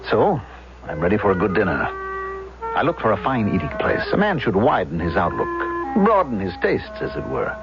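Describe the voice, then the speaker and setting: A man's voice: lowish voice, sing-song, fairly quick. An actor playing a pompous, talkative middle-aged man in a 1970s radio drama, expansive and insistent. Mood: self-satisfied. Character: pompous, garrulous middle-aged man who plays invented roles. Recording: dull vintage radio, loud music behind.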